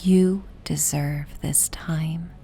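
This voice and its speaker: A woman narrating a soothing sleep meditation and bedtime story in a gentle, soft, half-whispered, breathy voice. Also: low-pitched, very slow. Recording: steady ocean and wind ambience noise. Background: ocean and wind ambience.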